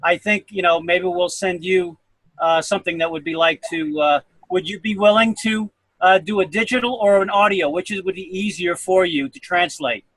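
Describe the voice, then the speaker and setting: A man's voice: sits high, fairly bright tone, no room sound, poor audio. A man organizing over a group video call, speaking hesitantly with many fillers.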